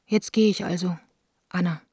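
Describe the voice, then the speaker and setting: A woman's voice: lowish voice, fairly even intonation, moderate pace, mellow, slightly rough, no room sound, studio quality. An actress playing a lovesick young woman who reads her intimate, wistful letters aloud in a radio play. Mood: wistful, hurt.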